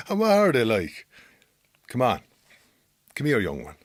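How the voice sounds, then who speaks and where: An actor playing an awkward, well-meaning Irish farm boss in a radio drama, with an Irish accent and a blunt but stumbling way of talking. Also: male voice, medium pitch, huge pitch swings, slow pace, no room sound, studio quality, heavily acted. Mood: wry, impatient.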